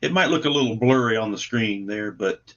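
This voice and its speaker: A man's voice: lowish voice, lively intonation, a little fast, a bit bright. A man chatting casually as an interview guest, earnest and plainspoken, explaining his comic book project on a video call. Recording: dull, lo-fi video call audio.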